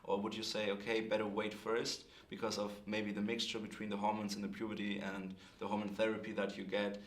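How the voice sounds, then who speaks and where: A man's voice: fairly low pitch, completely flat delivery, fairly quick, roomy sound. A man interviewing a guest, asking long, careful questions with many fillers.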